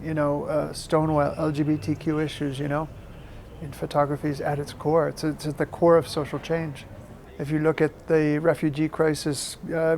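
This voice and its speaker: Middle-aged man, American accent, slightly high voice, flat intonation, halting and thoughtful, interview talk. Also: slight background noise. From Maine, United States. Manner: halting, thoughtful, repeats words for emphasis.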